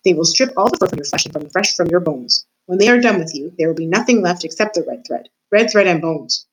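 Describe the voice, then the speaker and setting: A woman's voice: fairly low pitch, expressive, quick pace, natural voice, poor audio. A woman voicing an old male dungeon jailer who talks in a menacing, theatrical way and calls himself by name.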